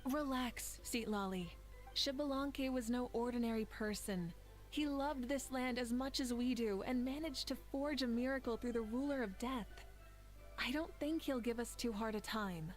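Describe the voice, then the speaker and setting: A woman playing a confident, calm leader. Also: a little high, moderate pace, soft background music, lightly acted. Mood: reassuring. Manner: assured, reassuring, commanding. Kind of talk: game cutscene dialogue.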